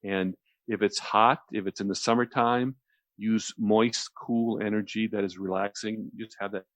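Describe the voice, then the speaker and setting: Deep-voiced man, talk radio. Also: fairly even intonation, moderate pace, dry recording. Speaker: radio show host explaining relaxation technique. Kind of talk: conversational talk radio explanation.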